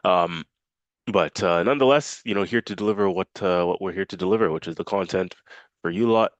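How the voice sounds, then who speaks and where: Deep-voiced, congested male fan host. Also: a little fast, no room sound, lo-fi sound. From Canada. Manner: casual, filler-heavy, repeats himself. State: congested, nasal from a cold. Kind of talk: football match preview talk.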